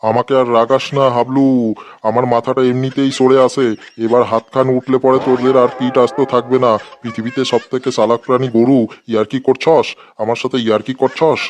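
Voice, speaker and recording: Man acting as cartoon schoolteacher, sarcastic, scolding, middle pitch, even monotone delivery. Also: fairly quick, natural voice. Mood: exasperated, sarcastic. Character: exasperated, sarcastic male village schoolteacher scolding pupils. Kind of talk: comedy cartoon dialogue.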